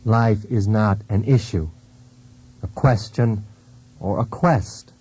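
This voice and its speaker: An actor playing a wounded, brooding husband in a poetic radio drama, speaking in a deliberate, intense, theatrical way. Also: male voice, lowish voice, warm tone, breathy edge. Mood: solemn, insistent. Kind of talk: poetic monologue in a radio play. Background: music.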